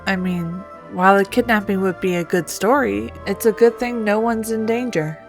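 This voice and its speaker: Woman playing a practical newspaper editor-in-chief, mid-pitched. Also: moderate pace, soft background music, close to an everyday voice. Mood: reassuring.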